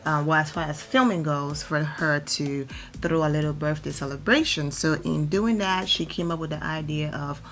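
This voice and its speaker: Hoarse, gravelly-voiced woman vlogger. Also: low-pitched, fairly even intonation, moderate pace, a bit bright. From Atlanta, Georgia. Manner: chatty, rambling with many fillers. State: hoarse, nearly losing her voice from allergies. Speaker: celebrity gossip vlogger. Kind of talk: casual gossip commentary.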